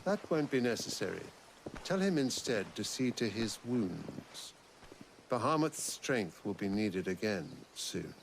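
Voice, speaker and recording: A man's voice: fairly low pitch, sing-song, a little slow, gravelly, noisy background, heavily acted. A man playing a scornful, imperious fantasy emperor in a video game, speaking in formal, archaic oratory. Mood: cool, commanding. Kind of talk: theatrical fantasy game acting. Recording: orchestral music behind.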